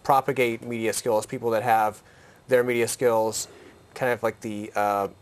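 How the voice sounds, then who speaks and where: A man's voice: mid-range voice, flat delivery, normal speed. A man from a media collective speaking casually, with lots of "like" fillers. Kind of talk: casual interview conversation.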